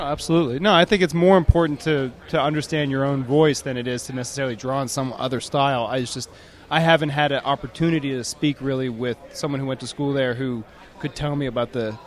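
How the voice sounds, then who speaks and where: A man interviewing a young comics artist in a casual, teasing way, rambling with many fillers, recorded outdoors. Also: medium pitch.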